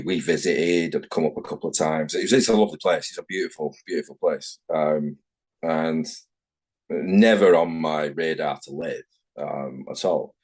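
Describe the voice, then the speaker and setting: A man's voice: low-pitched, darkish tone. A middle-aged man with a Manchester accent, talking frankly in a casual interview over a video call and often correcting himself. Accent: Manchester English. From England, Manchester. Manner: rambling, frank, self-correcting. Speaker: tattoo artist guest.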